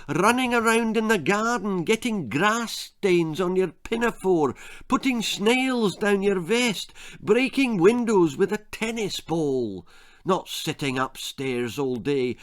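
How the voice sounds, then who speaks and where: A man's voice: high voice, lively intonation, slow, pitched above the performer's own voice. A man voicing an exasperated mother who scolds and pleads emphatically in a children's story. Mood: exasperated pleading.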